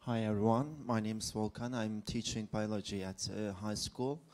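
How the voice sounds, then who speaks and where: Teacher guy in the audience, hesitant, pretty monotone. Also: lowish voice, moderate pace. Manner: hesitant, flat delivery. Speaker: high school biology teacher. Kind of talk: audience question. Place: conference hall.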